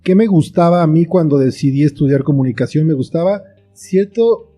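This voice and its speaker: A man's voice: on the high side, moderate pace, mellow, soft background music. A man with a Mexican accent talking casually and reflectively as an interview guest, explaining things like a storyteller. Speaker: interview guest, writer and filmmaker.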